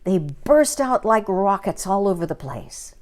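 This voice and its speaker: A woman storyteller reading a children's story aloud in an expressive, dramatic and deliberate manner. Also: mid-range voice.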